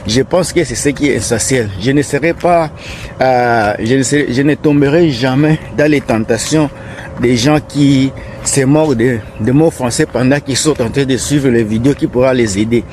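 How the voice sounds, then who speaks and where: A man's voice: mid-range voice, normal speed, darkish tone, slight background noise. Earnest man with an African French accent. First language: French not his first language. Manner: earnest, repetitive, advising. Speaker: vlogger. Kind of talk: casual vlog talking to viewers. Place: outdoors on a residential street.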